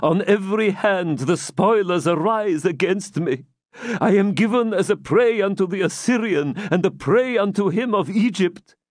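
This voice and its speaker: Man acting out a high-pitched, lamenting old moneylender. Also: animated, normal speed, no room sound, studio quality. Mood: wailing despair. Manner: lamenting, pleading, archaic speech. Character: anxious, wailing, fawning old moneylender, male.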